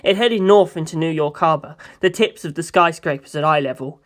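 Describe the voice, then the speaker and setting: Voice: mid-range voice, a little fast. A person reading a first-person novel aloud as scripted audiobook narration. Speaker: amateur audiobook reader.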